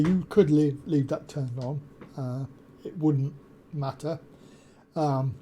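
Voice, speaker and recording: A man presenting a software tutorial in an unhurried, explanatory way, filling pauses with ums and uhs. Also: a little high, slight background noise. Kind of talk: screen-recorded software walkthrough. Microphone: desk USB microphone.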